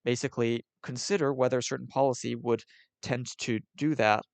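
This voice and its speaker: A man from Switzerland who sounds partly American, hosting a casual call-in debate, talking haltingly with many repeated words and frequent laughs. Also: mid-range voice, fairly even intonation, gravelly, no room sound. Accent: American-sounding with slight European tinge.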